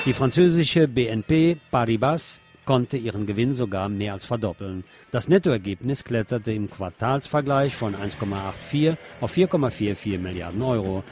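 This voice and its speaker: A man's voice: medium pitch, darkish tone. A man presenting news and commentary on radio, reading with wry, sardonic asides.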